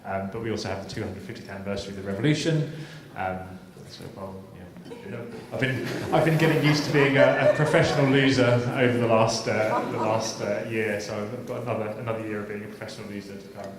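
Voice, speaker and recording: A deep, flat-toned man speaks on a consulate panel. He pauses with ums and repeats his words. The hall is very echoey and noisy behind him. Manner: halting, frequent ums and repeats. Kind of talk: panel discussion remarks.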